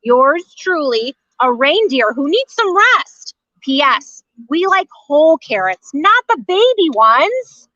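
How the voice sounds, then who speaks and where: A worn-out but sassy reindeer played by a woman makes a chatty plea in a comic radio spot. The voice is high and bright. The audio is dry and a little muffled. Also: big pitch swings, slow, no room sound, heavily acted. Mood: pleading, cheeky. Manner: pleading, chatty, reading out a letter. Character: exhausted, sassy sleigh-pulling reindeer writing a letter.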